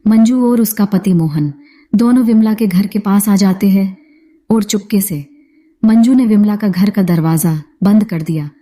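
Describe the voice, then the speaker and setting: Woman narrating a scary story, medium pitch, really soft dark voice.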